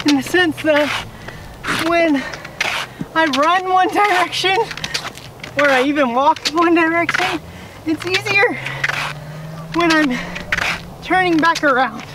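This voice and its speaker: A woman's voice: very high-pitched, slow pace, long pauses. A young woman talking casually and encouragingly while walking on crutches through an outdoor park, a little out of breath. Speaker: fitness vlogger. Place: outdoor park sidewalk, windy. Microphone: camera filming from a distance. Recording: distant camera mic, loud wind noise.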